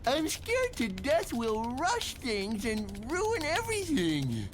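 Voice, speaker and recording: Man playing slow, swooping theatrical mutant villain. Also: very high voice, huge pitch swings, faint music behind, heavily acted. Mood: gushing, lovelorn. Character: crude huge male villain catfishing as a gushing lovelorn woman. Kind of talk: motion comic voice acting.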